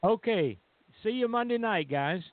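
Male radio co-host, casual, slightly high voice, tinny telephone audio. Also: lively intonation, normal speed, no echo. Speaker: radio show producer and co-host. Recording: dry, narrow phone-line sound.

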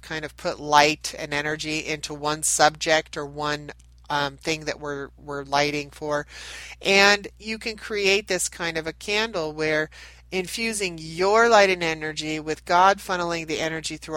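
Nasally woman just over a cold, lowish voice, rambly spiritual chat, dry close sound. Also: a bit bright, dry recording, low-quality recording. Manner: meandering, chatty, with many restarts. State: getting over a head cold. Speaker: spiritual reader and channel host. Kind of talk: casual spiritual talk to camera.

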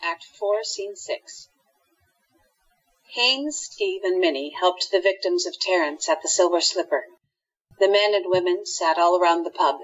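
Husky, low-pitched woman narrator. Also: a little slow, soft background music. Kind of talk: scripted radio drama narration. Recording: dull lo-fi audio.

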